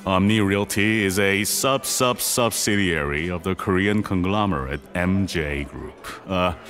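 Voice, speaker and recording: Older male chaebol patriarch, deep voice, formal, measured, composed, audio drama. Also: a bit bright, faint music behind. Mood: grave. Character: powerful, composed older chaebol businessman and father. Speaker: actor in a podcast drama.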